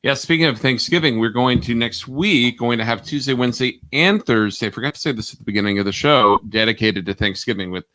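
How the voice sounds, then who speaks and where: Bright-voiced man hosting a chat show. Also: medium pitch, no echo. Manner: halting, announces plans with many fillers. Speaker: co-host.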